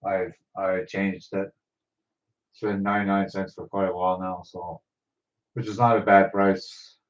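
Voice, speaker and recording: A man in his late sixties chatting casually with viewers during a livestream, halting and trailing off as he rambles. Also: sits low, little pitch movement. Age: 60s. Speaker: livestream host. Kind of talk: casual live chat with viewers. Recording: distant, dull lo-fi sound.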